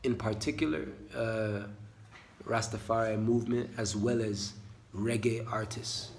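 A man's voice: fairly low pitch, slight background noise. A man in his thirties with a Jamaican accent, talking animatedly to a phone camera in a small room in a spiritual vlog, using Rasta speech. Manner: animated, gestures a lot, Rasta speech patterns. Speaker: channel host. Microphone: phone camera at close range.

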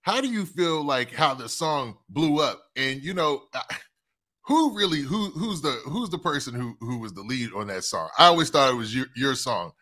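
Man, interview host, bright, eager, affirming. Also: mid-range voice, very animated, normal speed. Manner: eager, affirming, asks leading questions. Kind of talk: casual interview chat.

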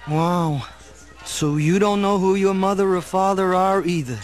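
A man's voice: sits high, fairly even intonation, measured pace, faint music behind. An actor playing a shy, earnest young man, stammering in a radio play. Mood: eager. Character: shy, awkward, eager young Brooklyn man.

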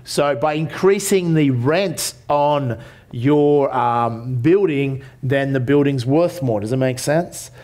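A man's voice: medium pitch, slow pace, darkish tone. An Australian man in his forties gives a business seminar from a lectern in a hotel conference room. He talks in a loose, conversational way, full of fillers and restarts. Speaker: seminar presenter.

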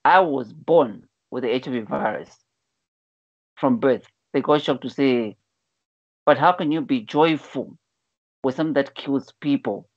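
A man's voice: medium pitch, unhurried, pauses often, no echo. Earnest man from Zambia on a dull call. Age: 40s. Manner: earnest storyteller, repeats words when starting a thought. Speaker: life coach guest. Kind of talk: telling his life story in an online interview. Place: indoor room at home. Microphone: video call, wired earphones. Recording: dull lo-fi video call audio.

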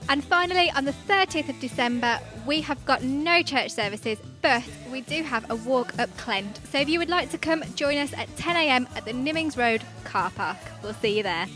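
A young woman reads out the church's events in a high voice. She is cheerful and chatty, speaking into a handheld mic. Faint music plays behind her. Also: lively intonation, moderate pace, hardly any pauses, sharp tone. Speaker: church news presenter. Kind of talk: scripted church announcements. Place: studio in front of a green screen.

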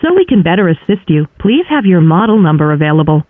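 A woman's voice: fairly low pitch, sing-song, fast talker, no room sound. A woman's voice reading scripted automated menu prompts over the phone. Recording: narrow dry phone line.

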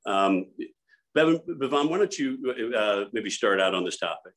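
Deep-voiced male panel moderator. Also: lively intonation, normal speed, fairly bright tone.

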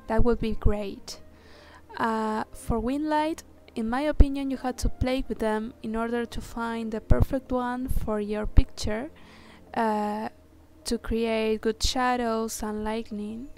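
A woman with a Spanish accent, whose first language is Spanish, talking through a software tutorial step by step with hesitant fillers. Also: on the high side, fairly even intonation. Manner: slow, careful, step-by-step instructions with hesitant fillers. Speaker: tutorial maker. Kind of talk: screen-recorded software tutorial. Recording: voice-over with music playing underneath.

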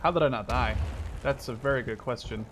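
A man's voice: medium pitch, fairly quick, very noisy. A young man giving casual, rambling commentary over his own gameplay, now and then swearing at surprises. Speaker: gaming channel host. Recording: game gunfire and artillery behind voice.

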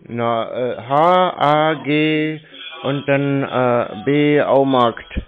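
A man making a casual phone call in a deadpan, laconic way. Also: mid-range voice, very slow. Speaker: prank-call scam baiter. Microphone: telephone. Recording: tinny narrowband phone line.

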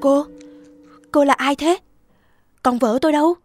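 A woman's voice: high-pitched, a little slow, dry recording, studio quality, faint music behind, pitched above the performer's own voice. A woman voicing an earnest, devoted young peasant husband in a cartoon folktale, exclaiming as he talks. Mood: astonished.

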